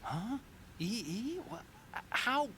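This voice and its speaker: A man's voice: extremely high pitch, very animated, slow, vocal fry, pushed and bright, a little hiss or hum, heavily acted. An actor playing a nervous young male engineer who hesitates and stammers. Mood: anxious.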